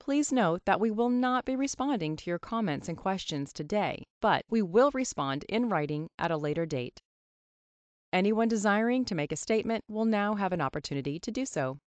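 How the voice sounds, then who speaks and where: Woman reading out a formal public hearing script, even and steady, dry close sound. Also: medium pitch, moderate pace, clear, resonant tone, no room sound. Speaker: presenter.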